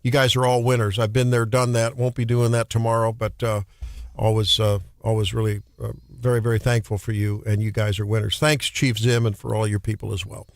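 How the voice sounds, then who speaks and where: A man hosting a morning radio show in a casual, folksy way, often pausing on fillers. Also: lowish voice, little pitch movement, gravelly, no echo.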